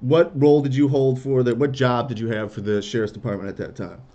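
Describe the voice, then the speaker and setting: A man's voice: mid-range voice, fairly even intonation, fairly quick, mellow, highs cut off. A man working as an attorney, questioning a witness over a video call and often restarting his questions mid-sentence.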